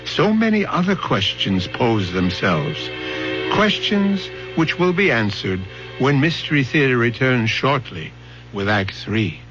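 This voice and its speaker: An actor as the host narrator of radio mystery tales, speaking in a portentous, deliberate, theatrical way. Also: male voice, medium pitch, big pitch swings, over loud music. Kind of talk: dramatic scripted radio narration. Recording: dull old radio broadcast recording.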